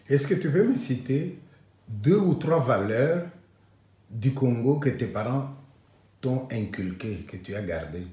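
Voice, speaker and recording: A man hosting an interview, putting calm questions to his guest. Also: mid-range voice, measured pace. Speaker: interviewer, channel host. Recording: echoey room.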